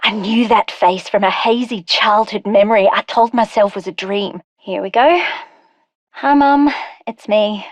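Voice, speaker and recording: An actress playing a brilliant, wry and determined scientist in a video game, speaking articulately in scripted lines and journals. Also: female voice, medium pitch, measured pace, no room sound, close to an everyday voice. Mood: troubled, confiding. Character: brilliant wry female scientist, guilt-ridden, urgent and determined. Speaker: scientist character.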